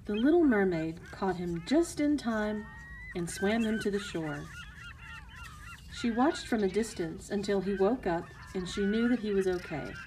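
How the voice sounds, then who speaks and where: Mellow woman storyteller. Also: mid-range voice, lively intonation, measured pace, a little hiss or hum, poor audio. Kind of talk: scripted fairy tale narration for children.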